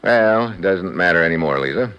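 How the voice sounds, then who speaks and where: A man's voice: low voice, expressive, fairly quick, clear, resonant tone, lo-fi sound. An American man playing a tough, wisecracking secret agent in 1950s radio acting, with a wry, hard-boiled manner. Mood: dry, teasing. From United States. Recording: old radio broadcast with music behind.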